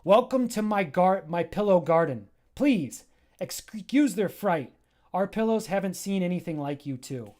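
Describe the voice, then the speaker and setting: A streamer voicing an excitable male alien who rides a snail, read from a picture book in a theatrical storybook voice. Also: medium pitch, a little slow, close to an everyday voice. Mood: welcoming. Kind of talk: reading a picture book aloud in character.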